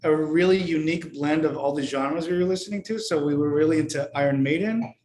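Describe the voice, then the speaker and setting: A man in his twenties from Portland, a band member, chatting in a relaxed, easy way on a video call. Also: a little high, a little fast. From Portland, Oregon. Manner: relaxed, chatty, laughs easily. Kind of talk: casual interview chat. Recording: roomy video-call audio with faint noise.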